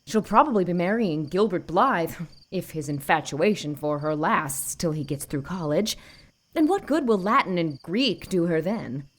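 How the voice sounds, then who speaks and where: A woman's voice: fairly low pitch, lively intonation, moderate pace. A woman playing a stiff, opinionated married village gossip, disapproving and emphatic, in an audiobook. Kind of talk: audiobook character acting.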